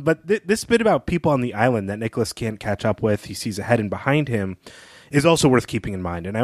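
A man's voice: lowish voice, no echo, clean studio sound. A man co-hosting a podcast, giving conversational literary analysis in a thoughtful, explanatory way. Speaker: podcast co-host.

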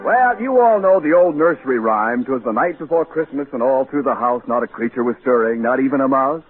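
Animated 1940s radio announcer, a man. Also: mid-range voice, brisk, soft background music. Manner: declamatory, scripted. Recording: tinny, noisy 1940s radio recording.

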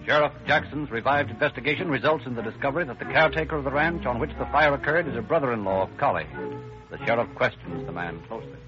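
A man's voice: medium pitch, lively intonation, quick pace, natural voice. An actor as the narrator of an old-time radio crime drama, formal and urgent in his scripted delivery. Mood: grave, urgent. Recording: dull vintage radio recording. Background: dramatic music.

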